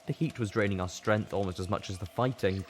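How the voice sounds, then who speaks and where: Low-pitched man narrating a dramatic story. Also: fairly quick, a little hiss or hum. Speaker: story reader. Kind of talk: dramatic first-person audiobook narration.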